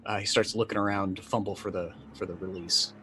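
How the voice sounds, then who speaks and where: A man in his forties describes his tabletop game character off the cuff. His voice is fairly low and he thinks aloud in halting phrases, with faint noise on the video call. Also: fairly even intonation, fairly bright tone. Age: 40s. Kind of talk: improvised third-person character description.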